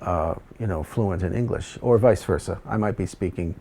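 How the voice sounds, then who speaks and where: A man's voice: low-pitched, moderate pace, gravelly, mellow. A man in casual conversation, rambling and hesitant with frequent fillers, whose first language is Dutch.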